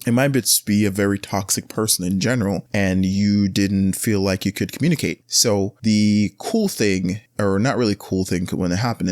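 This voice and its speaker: A man hosting a casual solo podcast, giving blunt advice in a rambling, conversational way that often jumps up in pitch to stress a point. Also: dry recording, clean studio sound. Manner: rambling, with frequent restarts and filler words. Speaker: podcast host.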